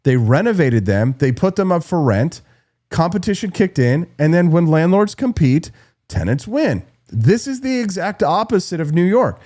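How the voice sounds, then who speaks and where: A man's voice: on the high side, big pitch swings, moderate pace, dark tone. A man hosting a political commentary show, delivering a punchy, sarcastic monologue while losing his voice. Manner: punchy, sarcastic, repeats "right?".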